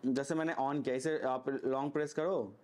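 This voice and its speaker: A man's voice: medium pitch, fairly even intonation, moderate pace, a little mellow, slight background noise. A man with an Indian accent giving a casual, unscripted product review, explaining point by point and often checking in with the listener. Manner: explanatory, frequently checks in with "okay". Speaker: motorcycle gear reviewer.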